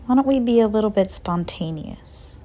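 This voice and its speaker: A woman narrating a guided visualization in a soft, breathy voice that often nears a whisper, calm and gentle with long pauses. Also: mid-range voice. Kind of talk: slow guided visualization, soothing scripted narration.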